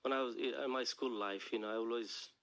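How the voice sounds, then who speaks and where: A middle-aged man with a Bangladeshi accent, a native Bengali speaker, talking casually and haltingly as a guest in a radio studio interview. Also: mid-range voice, steady tone, fairly bright tone. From Bangladesh and England. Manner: halting, hesitant, frequent "you know". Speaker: interview guest, charity worker and businessman.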